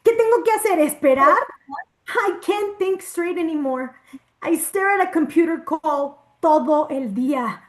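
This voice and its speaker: A woman's voice: extremely high pitch, animated, normal speed. A woman acting a frustrated, unraveling patient in a drama table read, switching between Spanish and English in emotional outbursts. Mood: bitter, anguished.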